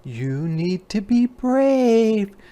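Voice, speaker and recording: A man voicing a gentle, encouraging mother bear in a children's storybook, coaxing with drawn-out words. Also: sits high, sing-song, voice pushed up, full character voice. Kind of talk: children's storybook read-aloud.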